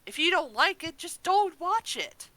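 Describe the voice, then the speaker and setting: A woman's voice: very high-pitched, sing-song, unhurried, sharp tone, dry recording. A woman co-hosting a podcast in casual discussion, emphatic and opinionated, prone to ranting and swearing. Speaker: podcast co-host.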